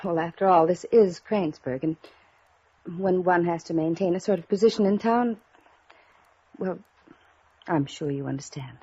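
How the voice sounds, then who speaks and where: A proud, genteel society woman in an old radio play. The voice is on the low side and slightly husky, with formal, haughty phrasing. The recording is muffled and dated. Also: normal speed, pauses often, warm tone, dry recording. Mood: guarded. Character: proud, jealous small-town society woman, genteel and guarded. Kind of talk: 1950s radio drama acting.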